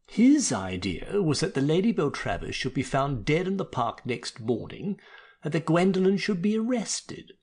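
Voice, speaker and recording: A man narrating a story expressively, with a theatrical, mock-melodramatic delivery. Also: on the high side.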